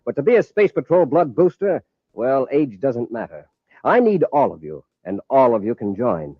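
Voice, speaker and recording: Husky, commanding American space hero. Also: male voice, medium pitch, very animated, normal speed, no room sound. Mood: earnest, rallying. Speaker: radio actor. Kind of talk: 1950s radio adventure acting. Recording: muffled old radio transcription.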